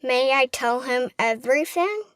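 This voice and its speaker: Little orphan girl, high pitch, childlike, plaintive, earnest, close dry sound, audiobook drama. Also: lively intonation, measured pace, a bit bright, dry recording. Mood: hopeful, eager. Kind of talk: full-cast audiobook acting.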